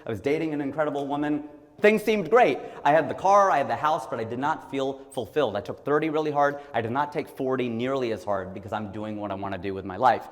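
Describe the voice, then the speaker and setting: A man's voice: mid-range voice, fast, long reverb tail. A man in his forties from Ohio, giving a motivational talk in a joking, conversational way and acting out the people he quotes. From Ohio, USA. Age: 40s. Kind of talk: stand-up style motivational talk.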